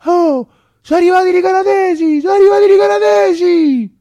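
An actor voicing excitable Roman children shouting news in the street, shrill and exclamatory, in a Roman accent. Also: male voice, a little fast, muffled recording. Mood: breathless excitement.

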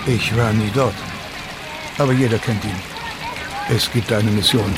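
A man's voice: on the low side, steady tone, measured pace, background noise, close to an everyday voice. A man playing a guarded, probing riverboat captain in a radio drama, speaking in terse questions and statements.

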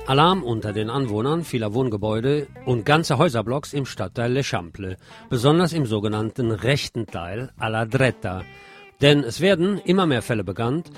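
A man presenting radio news from a script, with colloquial commentary mixed in, over music. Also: mid-range voice. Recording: music behind the voice.

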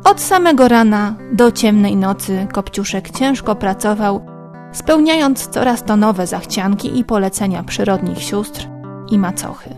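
Female storyteller, mid-range pitch, scripted children's tale narration over prominent guitar backing. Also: lively intonation, unhurried, darkish tone. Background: plucked guitar music.

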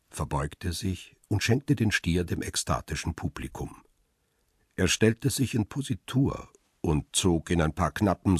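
A man playing a paparazzo who tells his own crime story in a radio drama, husky and measured in a literary way. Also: deep voice, no room sound, studio quality, full character voice. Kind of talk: radio drama first-person narration and dialogue.

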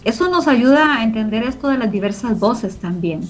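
A woman's voice: on the high side, lively intonation, moderate pace. A woman being interviewed, explaining her organization's work in a conversational way. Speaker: interview guest from a community media organization. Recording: dull lo-fi audio, music behind.

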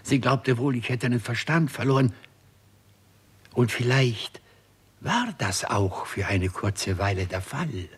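A doctor consumed by his strange experiments in a 1960s German radio crime drama. His voice is husky and mid-pitched. He speaks slowly and deliberately, with the gravity of someone telling a solemn story. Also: animated, exaggerated voice. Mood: grave, haunted. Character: brooding, obsessive male doctor experimenting on raising the dead.

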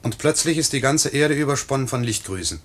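A young night pilot in a 1950s German radio drama. His voice swoops with lively intonation. He is boyish and teasing, by turns swaggering and dreamily tender. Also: mid-range voice, a little fast. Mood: dreamy, marveling.

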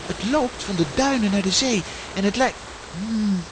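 A woman's voice: mid-range voice, measured pace, close to an everyday voice. A woman voicing an earnest, observant young boy in a children's audio drama, with an inquisitive manner. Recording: noisy audio drama recording, hissing ambience.

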